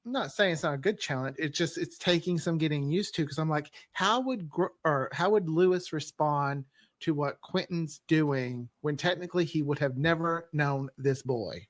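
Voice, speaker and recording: A man with a slightly raised pitch speaks as a writer on a panel. His talk is informal and conversational, recorded very dry with no room around it. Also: moderate pace, dry recording. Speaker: scriptwriter, panel guest. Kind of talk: casual panel chat.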